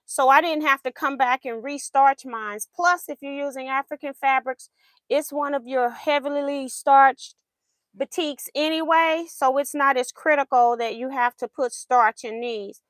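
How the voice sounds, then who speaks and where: Chatty high-voiced woman quilting live. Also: measured pace, fairly bright tone, no echo. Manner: chatty, laughs often, reads viewer comments aloud. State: voice going in and out. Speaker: quilting livestream host. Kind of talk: casual livestream chat while sewing.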